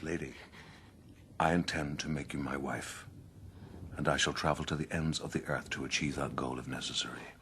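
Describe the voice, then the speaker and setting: A coldly menacing gentleman suitor speaks in a period radio drama. The voice is extremely deep and gravelly, formal and domineering, with faint noise behind it. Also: steady tone, moderate pace, full character voice. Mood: cold resolve.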